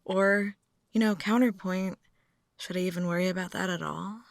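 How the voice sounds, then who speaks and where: A woman's voice: fairly low pitch, fairly even intonation, normal speed, creaky, no room sound. A woman acting an intimate, morbidly musing date, dry close sound. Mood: wry, musing. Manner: musing, teasing, rambling with soft chuckles. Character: morbid, chatty, philosophical young woman on a graveyard first date. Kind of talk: ASMR roleplay, intimate monologue to the listener.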